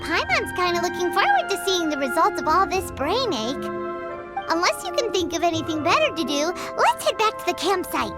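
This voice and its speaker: A woman voicing a tiny, cheeky fairy-like girl companion who chatters in a childlike, excitable way. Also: very high-pitched, normal speed. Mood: eager. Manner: excitable, childlike, refers to herself by name. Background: game music.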